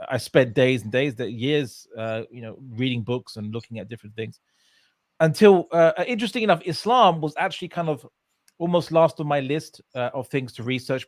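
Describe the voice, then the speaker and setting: A man's voice: mid-range voice, no room sound. A man from England, telling his story as an interview guest in a casual way, with many fillers and restarts. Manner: hesitant, many fillers and restarts, laughs often.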